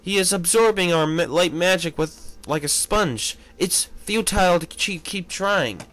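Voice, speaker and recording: Scholarly star spirit, voiced by a man, bookish, analytical, halting, worried, unhurried, fan fiction reading. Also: high voice, a bit bright, pitched above the performer's own voice. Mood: worried, resigned.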